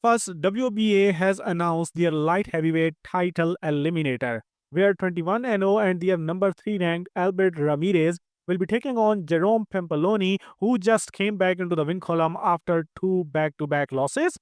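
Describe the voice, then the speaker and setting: High, crisp-voiced Indian man reading news. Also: no room sound, clean studio sound. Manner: quick, steady news read. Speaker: news host. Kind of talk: scripted news narration.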